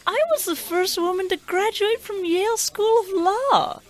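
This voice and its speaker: A woman's voice: very high-pitched, measured pace. A woman playing a blunt, fed-up wife in a comedic radio play, with mock-melodramatic delivery. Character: fed-up, easily impressed wife, a lawyer. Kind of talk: comedic old-time radio play acting.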